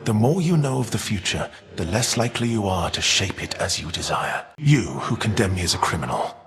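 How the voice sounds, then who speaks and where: A proud bitter titan for a video game, kinda deep, grave old-fashioned wording, big echoey reverb. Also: male voice, very animated, fairly bright tone. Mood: indignant. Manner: formal, measured, grave archaic diction. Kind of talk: scripted video game character voice lines.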